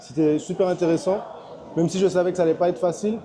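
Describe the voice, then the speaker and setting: A man's voice: high voice, fairly even intonation, quick pace, crowd noise. A man in his thirties from French Guiana, a personal trainer chatting casually in a large gym, hesitant with many fillers. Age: 30s. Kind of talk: casual chat.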